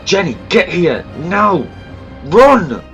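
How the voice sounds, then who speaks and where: An actor playing a protective, earnest time-travelling adventurer in an amateur audio drama, with a dramatic manner. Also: male voice, high-pitched, big pitch swings, slow, gravelly, a bit bright, highs cut off, faint music behind, exaggerated voice. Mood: urgent.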